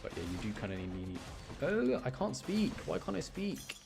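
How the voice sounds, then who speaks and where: A man's voice: on the high side, wildly swooping pitch, normal speed, creaky. A man live streaming a video game, chatting casually with viewers as he commentates on his play. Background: music.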